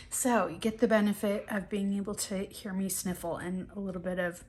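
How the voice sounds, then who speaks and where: A woman's voice: mid-range voice, normal speed. A middle-aged woman sniffling and a bit scratchy-throated from allergies, chatting casually through an art journal flip-through with lots of ums and restarts. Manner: relaxed, rambling, many ums and restarts.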